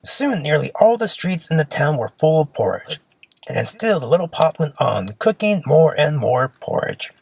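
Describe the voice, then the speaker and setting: A storyteller reading a children's fairy tale aloud in an expressive, simple storybook manner. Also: mid-range voice, moderate pace, gravelly, slightly rough, no room sound.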